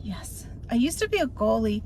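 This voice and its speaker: A woman voicing a quiet, shivering young girl who drops into a hushed whisper, in a spooky read-aloud story. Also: a little high, big pitch swings, normal speed, slight background noise. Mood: timid.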